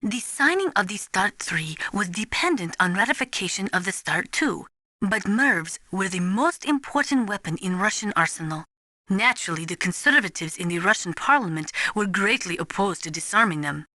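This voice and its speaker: A crisp, serious weapons analyst. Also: female voice, mid-range voice, expressive, bright, forceful tone, no room sound, clean studio sound. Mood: serious, explanatory. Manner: formal, measured, precise, explanatory. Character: serious, knowledgeable female nuclear weapons analyst briefing an agent. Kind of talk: scripted video game briefing dialogue.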